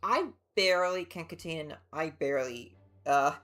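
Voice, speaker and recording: A woman's voice: deep voice, animated, unhurried, a bit bright. A woman livestreamer giving casual gameplay commentary, chatty and musing aloud. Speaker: game livestreamer. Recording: game music behind.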